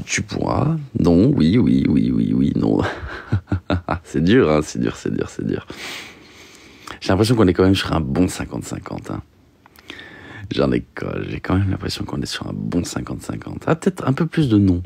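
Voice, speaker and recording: A young man chatting casually on a livestream, reading out questions and answering in a halting way, repeating himself with lots of fillers. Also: sits low, wildly swooping pitch, creaky, dark tone, breathy edge, no room sound. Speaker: streamer reading and answering chat.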